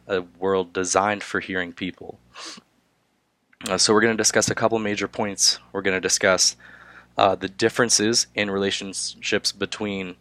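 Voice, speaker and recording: Young male student, hoarse with a cold, very low voice, halting delivery, dry room. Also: fairly bright tone, no room sound. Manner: halting, many filler "uh"s. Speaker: student presenter. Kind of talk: class presentation.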